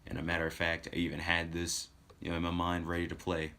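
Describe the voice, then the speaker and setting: A man's voice: sits low, completely flat delivery, quick pace, heavy background noise. A man chatting casually as a livestream host, rambling as he tells stories and talks to his viewers. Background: background music.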